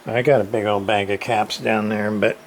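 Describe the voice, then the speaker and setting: A man giving a casual, off-the-cuff demonstration as a radio repair hobbyist, halting and folksy in a plain-spoken way. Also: fairly low pitch, little pitch movement. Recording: clear background radio noise and hiss. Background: radio noise.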